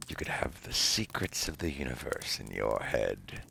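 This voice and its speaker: Man playing a very deep, gravelly whispering devil. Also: very animated, bright, forceful tone, slight background noise, heavily acted. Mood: sly, cautioning. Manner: theatrical, drawn-out, teasing. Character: seductive, cunning otherworldly male devil offering tempting deals. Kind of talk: ASMR roleplay, slow whispered monologue.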